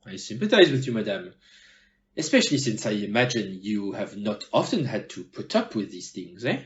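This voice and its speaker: A man performs a shrewd, courteous detective in an audio drama. His speech is formal and pointed, with a lively, swinging melody. The recording is a little dull and muffled. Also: fairly low pitch. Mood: pointed, reproving. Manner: formal, measured, pointed phrasing. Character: polite, shrewd, blunt male Belgian detective. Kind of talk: amateur audio play acting.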